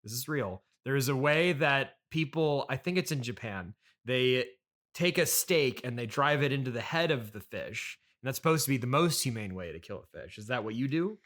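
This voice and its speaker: A man hosting an improvised comedy interview, deadpan, hesitant and politely puzzled. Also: mid-range voice, moderate pace. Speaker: comedy podcast host and interviewer.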